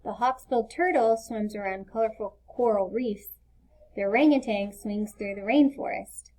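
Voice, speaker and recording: A woman's voice: mid-range voice, normal speed, darkish tone, lightly acted. A woman reading the part of a helpful, instructive male schoolteacher in a children's storybook. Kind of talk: children's storybook read-aloud.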